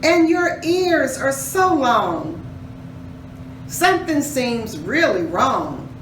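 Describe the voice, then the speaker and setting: A woman's voice: sits high, big pitch swings, very slow, pitched above the performer's own voice, exaggerated voice. A woman voicing a bold, suspicious young girl from a storybook, exclaiming and questioning. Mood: amazed suspicion. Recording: roomy echo, distant mic, faint noise.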